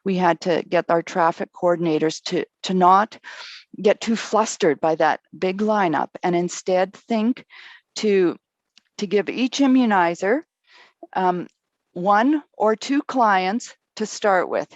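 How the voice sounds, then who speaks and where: A woman's voice: on the low side, slow, no echo, highs cut off. A woman, a guest speaker in a webinar, explaining with frequent ums and restarts. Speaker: guest speaker on clinic design. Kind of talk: webinar discussion.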